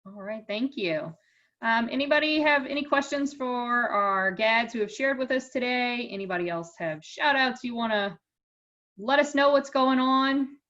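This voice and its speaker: A woman's voice: a little high, expressive, moderate pace, a bit bright. A woman casually moderating a group call, chatty, upbeat and appreciative. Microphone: conference call line. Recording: slightly muffled conference call audio.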